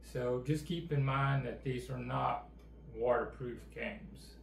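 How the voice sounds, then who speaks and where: A middle-aged man talks in a relaxed, plainspoken drawl, his voice rough with gravel. He sits at a table in an echoey room, with a faint hum of noise behind him. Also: mid-range voice, takes it slowly. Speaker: co-host. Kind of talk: casual channel chat. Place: indoor room with game table and backdrop.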